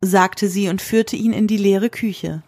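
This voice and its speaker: A woman with a husky voice reads scripted literary narration for an audio drama. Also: mid-range voice, measured pace, darkish tone, dry recording, studio quality.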